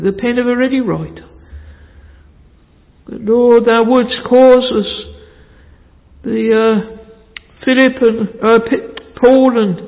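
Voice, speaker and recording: A man leading a fervent, pleading congregational prayer in old-fashioned language in a church. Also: very high voice. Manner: pleading, fervent, with repeated interjections. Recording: tinny, phone-like sound in a reverberant hall.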